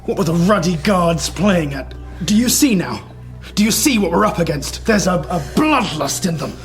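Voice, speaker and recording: An actor playing a blustering, controlling colonial husband in a radio drama, halting when flustered. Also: male voice, high voice, lively intonation, faint music behind. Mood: indignant.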